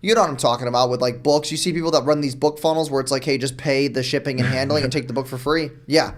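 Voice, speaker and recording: Fast-talking casual young man. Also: mid-range voice, fairly even intonation. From New York. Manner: fast, casual, with frequent "dude" and "like". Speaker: guest, marketer. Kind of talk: casual podcast conversation.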